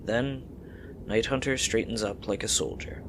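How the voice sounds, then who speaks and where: A man's voice: medium pitch, fairly even intonation, measured pace, a bit bright, slight background noise, low-quality recording. A man reading scripted story narration for an audio drama.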